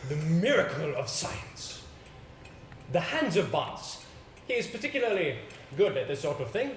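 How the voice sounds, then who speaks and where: Brisk theatrical mentor male stage actor, grand, emphatic, very animated; roomy live recording with some hiss. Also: fairly high pitch. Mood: commanding, theatrical. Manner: pompous, emphatic, commanding, showman-like.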